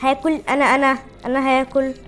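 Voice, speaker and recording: Very high, whiny Egyptian woman gamer. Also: little pitch movement, fast talker, a bit bright, soft background music. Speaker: gaming video host. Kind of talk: casual chat while playing a game.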